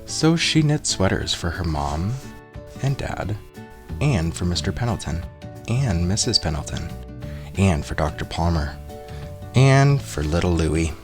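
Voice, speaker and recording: A man reading a children's picture book aloud in an expressive storytelling style, acting out the characters. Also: low-pitched, a little slow, long pauses, music in the background. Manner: expressive, acts out character voices.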